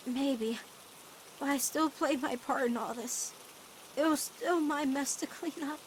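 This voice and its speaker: A woman voicing an earnest, emotional teenage girl weighed down by guilt, in an animated-style comic dub, speaking haltingly. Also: high-pitched, slight background noise, poor audio. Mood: guilty. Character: guilt-ridden, self-blaming teenage human girl.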